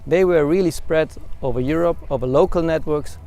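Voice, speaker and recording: A man's voice: fairly high pitch, fairly quick, mellow. A man, talking informally in a testimonial.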